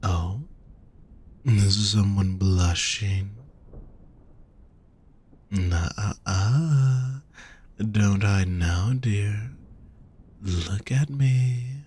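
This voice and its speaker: A man voicing a gentle, teasing, affectionate demon in an intimate audio roleplay, speaking softly and tenderly to the listener. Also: fairly low pitch, slightly rough, exaggerated voice. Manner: soft, unhurried, tender teasing.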